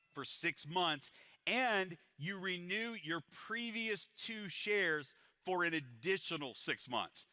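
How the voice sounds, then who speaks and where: A man presenting a compensation plan at a live event, energetic and repeating how powerful it is. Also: dry recording. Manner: energetic salesman pitch, repeats "it's powerful".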